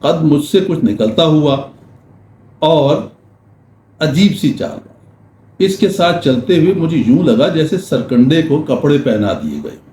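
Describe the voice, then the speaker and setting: An older man giving a literary talk in measured, formal diction with deliberate pauses, reading prose and reciting verse. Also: fairly high pitch, sing-song, mellow. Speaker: lecturer. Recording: roomy echo with faint background noise.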